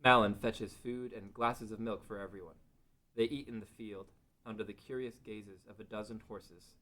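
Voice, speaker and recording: A man serves as narrator for a fantasy table read. His voice is fairly low, and he reads scripted present-tense story narration. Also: steady tone, normal speed, bright, forceful tone.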